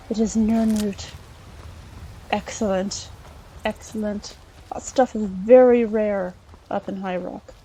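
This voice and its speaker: A woman's voice: on the high side, warm tone, breathy edge, full character voice, low-quality recording. A woman playing an earnest, polite, inquisitive scholar adventurer in a video game, chatting and musing aloud with some hesitation. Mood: delighted, musing. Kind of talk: improvised in-character let's play monologue.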